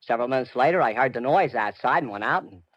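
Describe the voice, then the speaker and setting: An actor playing a flustered, talkative hotel clerk with a hesitant, bewildered manner, in old-time radio drama. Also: male voice, medium pitch, lively intonation, fast, a bit bright, no room sound. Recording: muffled old radio recording.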